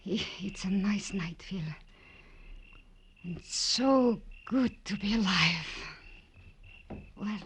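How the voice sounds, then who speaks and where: An actress playing an earnest young ballerina in an old radio drama, speaking haltingly, in hesitant phrases. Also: female voice, mid-range voice, fairly bright tone. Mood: tender, wistful. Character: earnest young female ballerina, courageous, softly grateful client. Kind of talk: 1940s-50s radio drama acting. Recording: noisy vintage radio recording.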